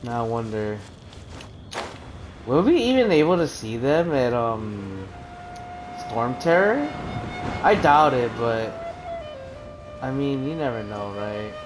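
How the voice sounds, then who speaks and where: A man's voice: medium pitch, sing-song, slow, pauses often, music in the background, poor audio. A man streaming a game live, reacting in a chatty, emotional way with sighs. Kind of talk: casual live gameplay commentary.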